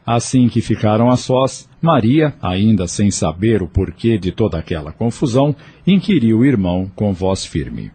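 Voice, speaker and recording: A man reading scripted narration for an audio drama in a measured storytelling way. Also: lowish voice, very animated, mellow, breathy edge. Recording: faint music underneath.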